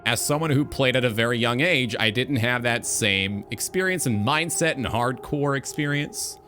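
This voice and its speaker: A man's voice: medium pitch, moderate pace, sharp tone. A man in his twenties hosting a livestream, talking in a casual, emphatic, rambling way with some swearing. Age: 20s. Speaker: streamer and talk show host. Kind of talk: casual livestream rant about video games. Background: background music.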